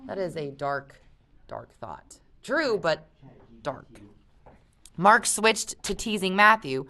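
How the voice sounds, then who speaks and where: A woman with a slightly low voice reads a story aloud like an audiobook. She is expressive, and slips into casual, teacherly discussion with guiding questions. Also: vocal fry.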